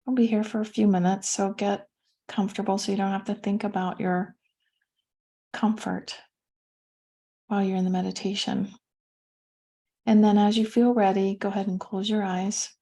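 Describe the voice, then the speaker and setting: A woman leading a guided meditation as a teacher, speaking gently and soothingly with pauses between phrases. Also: mid-range voice, level tone.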